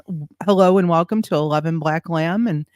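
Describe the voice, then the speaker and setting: A woman co-hosting a casual live-streamed talk show, relaxed and teasing in her banter. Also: fairly low pitch, fairly quick, no echo, clean studio sound. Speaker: co-host of a paranormal talk show.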